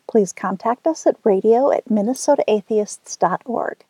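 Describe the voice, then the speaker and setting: A woman's voice: mid-range voice, mellow, breathy edge, no room sound. A woman hosting a radio show, reading scripted announcements.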